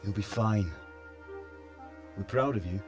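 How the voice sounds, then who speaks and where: An actor playing a dead father's spirit in a game cutscene, gently reassuring his son. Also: on the low side, takes it slowly, music in the background. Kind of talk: video game cutscene acting.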